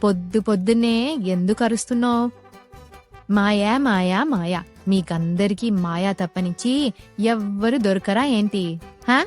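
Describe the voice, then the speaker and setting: A woman's voice: medium pitch, moderate pace, pauses often, soft background music, close to an everyday voice. A woman voicing a bad-tempered, jealous young village woman in a cartoon story, scolding and sarcastic. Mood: scornful. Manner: scolding, sharp-tongued, sarcastic. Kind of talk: cartoon moral story dialogue.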